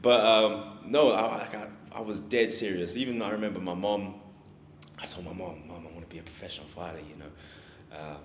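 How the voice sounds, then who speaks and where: A young man with a low, deep voice talks in a relaxed, halting way. He stops and restarts his sentences as he answers questions. The recording sounds echoey, with a little background hiss. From South Africa. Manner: laid-back, hesitant, restarts often. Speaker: guest, fighter. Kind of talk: casual interview. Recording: echoey room with faint noise.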